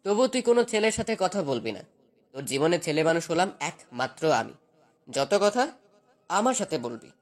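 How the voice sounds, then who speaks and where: পুরুষকণ্ঠে অভিনীত ওঠানামা করা স্বরের কর্তৃত্বপরায়ণ তরুণ স্বামী. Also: male voice, fairly high pitch, big pitch swings, normal speed. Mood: menacing, jealous. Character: possessive, domineering young husband, a jealous university student. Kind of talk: dramatized romantic story dialogue.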